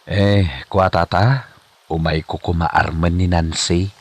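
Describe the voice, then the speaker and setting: A man's voice: sits low, steady tone, slow, no room sound, exaggerated voice. A man playing an eager, nervous and boastful suitor in an Ilocano comedy drama, with an Ilocano accent. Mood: nervous, polite.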